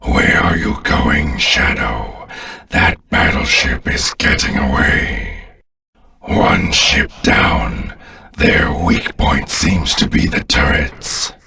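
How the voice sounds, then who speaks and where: An extremely deep, gravelly alien overlord from a video game. He growls each command with imperious contempt and grand menace, in a forceful, distant-sounding voice. The delivery is grandiose and merciless. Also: expressive, slow, exaggerated voice. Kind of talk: dramatic video game villain voice acting.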